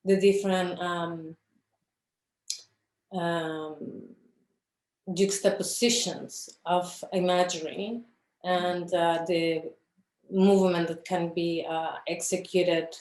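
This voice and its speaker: A woman's voice: fairly low pitch, flat delivery, slow, long pauses, darkish tone, roomy sound. A woman from Chile, an artist speaking in an interview who searches for her words with many fillers and restarts. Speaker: filmmaker, interview guest. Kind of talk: reflective conversation about her films.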